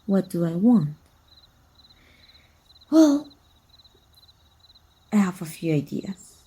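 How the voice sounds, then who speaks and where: A woman playing a teasing, wistful and flirty female vampire hunter with a breathy, husky voice in an intimate ASMR roleplay. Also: fairly low pitch, heavily acted. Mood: wry, coaxing. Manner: slow, teasing, softly taunting.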